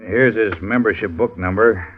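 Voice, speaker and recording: A man's voice: lowish voice, moderate pace, husky. A man playing a plain-spoken, helpful American union clerk with a casual, folksy manner in a 1950s radio drama. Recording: thin, narrow-band old radio recording.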